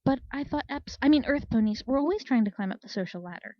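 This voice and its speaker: A woman voicing a shy, excitable, bookish young female unicorn in a storybook reading, stammering and easily flustered. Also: high voice, fast talker, no echo, highs cut off, voice pushed up. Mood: sheepish, uneasy.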